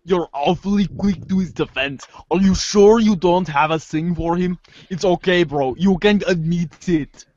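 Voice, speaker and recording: A man's voice: sits high, measured pace, a little mellow, no echo. A man playing a sarcastic, brainy teenage girl in a comic readers theater, dry and wordy, on a dull lo-fi recording. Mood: wry, teasing. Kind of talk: readers theater of web comic chat logs. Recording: dull, lo-fi microphone sound.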